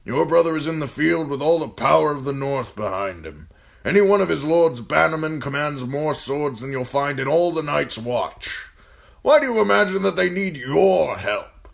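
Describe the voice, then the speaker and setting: A man voicing a gruff older military commander in an audiobook, talking bluntly and firing off needling questions. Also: fairly high pitch, animated, normal speed, voice pushed up. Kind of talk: audiobook character voice.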